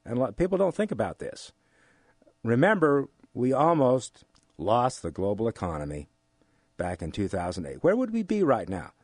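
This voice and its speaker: An older man with an American accent explains things in an interview, haltingly, repeating phrases for emphasis. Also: mid-range voice, huge pitch swings, no room sound, clean studio sound. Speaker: economics instructor giving an interview.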